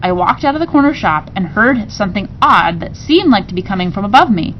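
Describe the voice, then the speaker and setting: Woman reading a storybook aloud, muffled and rumbly. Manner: expressive, acts out dialogue, pauses to explain pictures. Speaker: teacher reading aloud to students. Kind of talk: storybook read-aloud with asides. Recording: muffled recording with clear rumbling noise.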